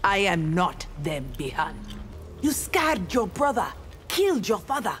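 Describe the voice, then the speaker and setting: A woman's voice: mid-range voice, very animated, unhurried, a bit bright. A woman playing a defiant, honorable female ninja warrior in a video game, speaking firmly and earnestly. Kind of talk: scripted video game dialogue. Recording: music behind the voice.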